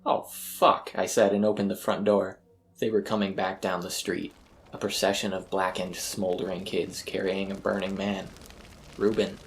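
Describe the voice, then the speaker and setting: A man's voice: deep voice, flat delivery, normal speed, slight background noise. A man narrating a scripted first-person horror story as its storyteller. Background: eerie ambient music.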